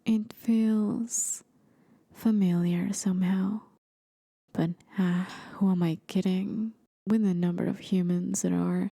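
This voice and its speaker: Breathy, unhurried demon woman, acted by a woman. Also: on the low side, no room sound, clean studio sound, full character voice. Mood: playful coaxing. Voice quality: breathy, soft, often whispered. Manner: teasing, coaxing, gently theatrical. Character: playful, courteous red-skinned horned demon woman, forest guide. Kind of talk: ASMR roleplay, scripted acting.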